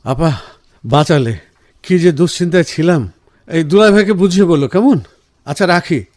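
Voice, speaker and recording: A man playing a caring, reassuring husband in a radio drama, speaking in an earnest, measured way. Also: on the high side, a little mellow, dry recording, studio quality, natural voice. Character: caring, reassuring husband, senior professional, firm but gentle. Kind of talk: Bengali radio drama acting.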